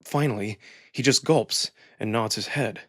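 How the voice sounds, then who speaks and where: A man with a husky voice reading first-person fiction aloud as a dramatic narrator. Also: fairly low pitch, measured pace, sharp tone, no room sound, clean studio sound. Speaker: narrator and performer of a visual novel reading. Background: background music.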